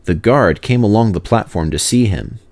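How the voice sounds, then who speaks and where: Animated, low-pitched male storybook narrator. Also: moderate pace. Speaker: narrator, reader of children's stories. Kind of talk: expressive scripted storybook narration.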